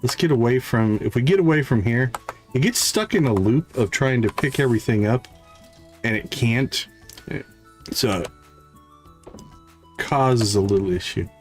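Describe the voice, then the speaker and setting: A man's voice: medium pitch, animated, unhurried, long pauses, faint music behind. A man hosting a live game stream, thinking aloud in short casual fragments and chatting to viewers, with a slight catch in his throat. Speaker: livestream host, gamer.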